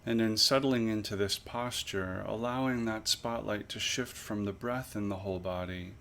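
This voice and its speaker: A man leading a guided body scan meditation as an instructor, with measured, pausing, gently instructive phrasing. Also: lowish voice, fairly even intonation, slight background noise.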